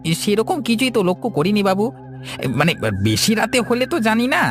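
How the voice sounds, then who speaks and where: A timid cartoon shopkeeper from a village , played by a man. His voice is somewhat high, with a nervous, deferential manner. Faint music runs behind the dialogue. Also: expressive, a little fast. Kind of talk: animated ghost story dialogue.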